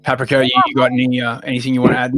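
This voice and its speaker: Wordy, crude Australian man, bright voice. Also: mid-range voice, monotone, racing, no echo. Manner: crude, sarcastic and wordy, with coarse jokes. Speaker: podcast co-host. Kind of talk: casual podcast chat.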